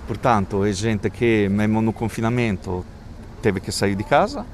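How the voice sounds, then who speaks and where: Halting Italian man with a low voice. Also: a little hiss or hum. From Italy. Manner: halting, restarts often, thinking aloud. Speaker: community educator. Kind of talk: street interview. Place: outdoors in a residential neighbourhood.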